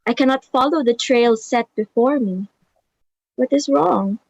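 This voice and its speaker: A woman playing a tired, aging ant who guides the young, in a slow, reflective dramatic reading. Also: on the high side, creaky, darkish tone, no room sound, muffled recording. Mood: weary, troubled. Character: weary aging female ant, slowing down, guiding the young. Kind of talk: dramatic reading of a stage play.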